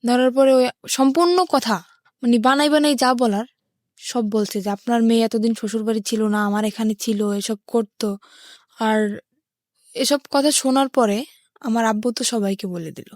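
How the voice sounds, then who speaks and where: High-voiced young Bangladeshi woman. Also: fairly even intonation, normal speed, long pauses, a little mellow, dry recording, clean studio sound. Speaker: guest telling life story. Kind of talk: personal storytelling in an interview.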